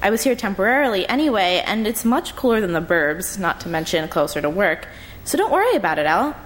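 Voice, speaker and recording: A woman's voice: mid-range voice, sing-song, quick pace, a little hiss or hum, close to an everyday voice, low-quality recording. A woman reading aloud and voicing a chatty, oblivious twenty-two-year-old woman who rambles breezily.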